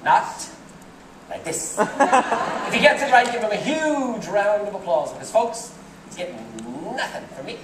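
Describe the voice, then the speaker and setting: Wisecracking stage magician in a theater. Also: male voice, high-pitched, lively intonation, measured pace, noisy background. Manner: wisecracking, teasing a volunteer. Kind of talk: live comedy magic act. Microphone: far audience camera. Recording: roomy theater sound from far off. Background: laughing, cheering audience.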